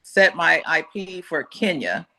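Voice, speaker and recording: A woman on a video call asking eager, quick follow-up questions about her trip. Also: low-pitched, lively intonation, pushed and bright. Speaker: caller planning a trip. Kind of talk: casual chat on a call.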